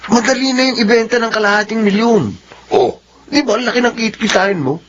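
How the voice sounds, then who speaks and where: An actor playing a slick, coaxing Filipino hustler selling dodgy cars in a radio drama. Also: male voice, sits high, lively intonation, a little fast, a bit bright. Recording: dull, noisy lo-fi radio audio.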